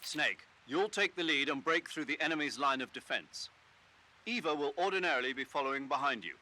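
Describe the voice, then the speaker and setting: An actor playing a commanding military officer in a video game, briefing with authoritative, instructive delivery. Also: male voice, a little high, expressive, fairly quick, sharp tone, rough recording.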